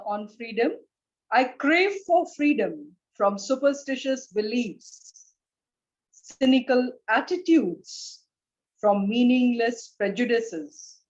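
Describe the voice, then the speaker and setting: A woman with an Indian accent speaks as a poet at an online reading. Her voice sits a little high and her delivery is slow and measured. The call audio is dull and lo-fi. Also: darkish tone. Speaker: poet and English professor. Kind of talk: poetry recitation at an online event.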